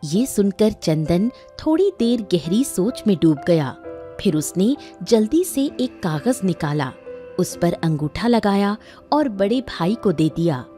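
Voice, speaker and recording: A woman's voice: mid-range voice, very animated, slow pace, warm tone, soft background music. A woman narrating a scripted moral tale for a cartoon, as a storyteller.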